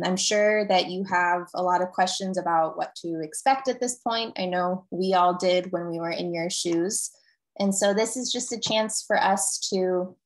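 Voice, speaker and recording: A young woman from Northern California, a dental student co-chair, hosting an information session on a video call in a friendly, relaxed way. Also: mid-range voice, low-quality recording. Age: young adult.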